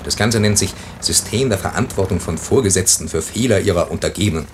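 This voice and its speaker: An actor playing a flippant, gossiping airline office secretary with dry mockery, in 1950s German radio drama style. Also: male voice, deep voice, brisk, close to an everyday voice. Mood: sardonic. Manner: dry, mocking, casual. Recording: noisy vintage 1950s radio recording.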